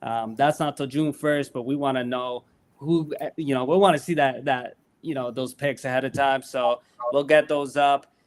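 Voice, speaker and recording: Male guest with a smooth, clear voice, upbeat promoter type, mid pitch. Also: steady tone, normal speed. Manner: upbeat, pitching features, with many fillers. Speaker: guest promoting a sports app. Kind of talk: casual promotional chat.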